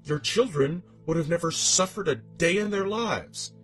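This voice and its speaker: A man's voice: on the high side, unhurried, faint music behind, lightly acted. A man voicing a vengeful, demonic scorned wife in a horror story monologue, with theatrical, taunting menace. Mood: bitter, accusing. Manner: theatrical, taunting, swinging between hushed menace and outrage.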